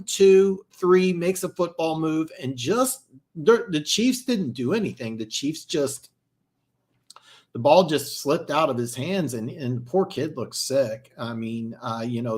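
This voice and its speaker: Guy calling football live off his stream, sore throat going hoarse, gets super excited on big plays, lotta ums. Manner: excitable, jumps high and loud on big plays, lots of fillers. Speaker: amateur play-by-play commentator. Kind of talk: live play-by-play and reaction commentary.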